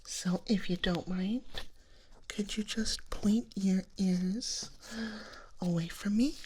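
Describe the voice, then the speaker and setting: A woman acts out a gentle female dragon nurse in an ASMR roleplay. She whispers softly and very slowly, calmly reassuring her patient like a kind clinician. There is some noise behind the voice. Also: medium pitch, close to an everyday voice. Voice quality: soft, breathy whisper. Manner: slow, soothing, reassuring clinical bedside talk.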